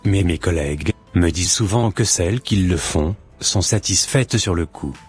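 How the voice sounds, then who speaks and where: Man doing a cartoon doctor, middle-aged, preachy, deep, husky, soft background music. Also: normal speed. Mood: lecturing. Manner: calm, lecturing and preachy. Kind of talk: amateur cartoon voice acting.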